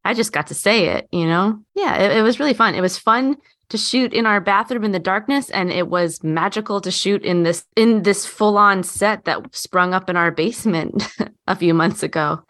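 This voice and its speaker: A woman's voice: mid-range voice, moderate pace, fairly bright tone. A woman chatting reflectively and casually in a roundtable, speaking in an earnest, heartfelt way. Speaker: podcast guest, actor.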